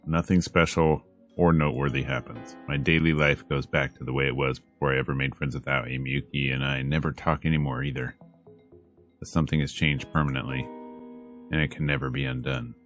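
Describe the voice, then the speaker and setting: Very deep-voiced man reading over music. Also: fairly even intonation, brisk, fairly bright tone. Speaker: streamer reading a visual novel aloud. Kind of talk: dramatic read-aloud with joking asides. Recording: music behind the voice. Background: game music.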